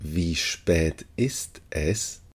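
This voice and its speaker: Deep-voiced man reading phrases very slowly. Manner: deliberate, each phrase spoken slowly and repeated. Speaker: language teacher. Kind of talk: slow phrase reading for learners.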